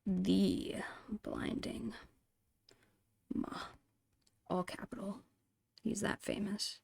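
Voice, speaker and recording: A woman softly narrating her digital art in an ASMR style, murmuring in short, breathy phrases close to the microphone. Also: mid-range voice, fairly even intonation, extremely slow, dry recording. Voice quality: breathy, whispery. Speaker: digital artist hosting an art channel.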